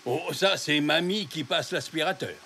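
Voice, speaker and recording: A man's voice: a little high, moderate pace, vocal fry, pushed and bright. A man voicing a kindly, encouraging zebra grandfather in a children's cartoon, with an indulgent manner. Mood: gentle. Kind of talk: children's cartoon dub acting.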